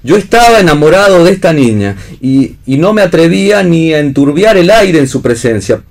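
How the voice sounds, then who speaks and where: A man's voice: fairly high pitch, sing-song, normal speed, a little mellow. A man playing a tormented, lovelorn romantic in a read radio play.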